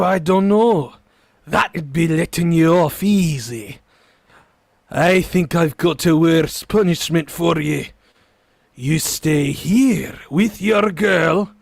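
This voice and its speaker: A man's voice: a little high, creaky, no room sound, clean studio sound, full character voice. A man playing a kindly, teasing policeman in a vintage-style radio play. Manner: fatherly, teasing. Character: kindly, amused male beat cop. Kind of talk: 1940s radio acting.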